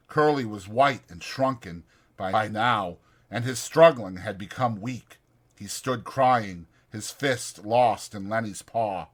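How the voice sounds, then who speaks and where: A man narrating a novel as a dramatized audiobook. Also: on the low side.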